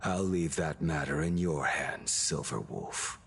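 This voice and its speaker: A man playing a grim, restless immortal swordsman in a video game, speaking gravely and tersely. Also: a bass voice, slow, fairly bright tone, slight background noise, heavily acted. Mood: grim, brooding.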